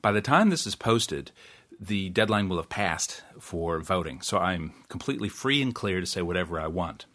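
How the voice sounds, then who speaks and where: A man's voice: sits low, lively intonation, moderate pace, a bit bright. A man hosting a podcast, talking casually with restarts and wry asides. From New Zealand. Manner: chatty, frequent restarts and self-corrections, wry laughs. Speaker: podcast host. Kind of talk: casual solo podcast monologue.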